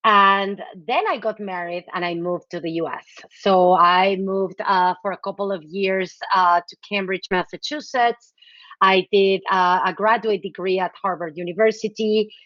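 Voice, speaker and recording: A woman in her forties from Mexico, a guest chatting casually in an interview, warm and talkative with many fillers and frequent laughs. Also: medium pitch, steady tone, moderate pace, dry recording, dull audio. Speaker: guest, nonprofit executive director.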